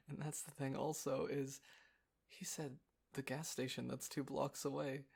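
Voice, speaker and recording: Man playing easygoing young male friend, mid-range voice, slow halting delivery, playful, casual naturalistic audio drama. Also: mid-range voice, level tone, vocal fry, breathy edge, exaggerated voice. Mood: amused exasperation. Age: young adult.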